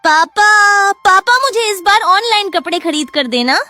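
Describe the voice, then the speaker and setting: A woman's voice: very high voice, lively intonation, unhurried, bright, forceful tone, heavily acted. A woman voicing an eager, pleading little schoolboy in a moral-story cartoon. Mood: pleading, excited.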